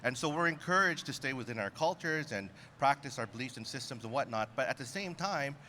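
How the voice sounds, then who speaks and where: A man's voice: medium pitch, lively intonation, a little fast, sharp tone, slight background noise. A man from Canada, a ministry leader, answering on a panel in an articulate, reflective way.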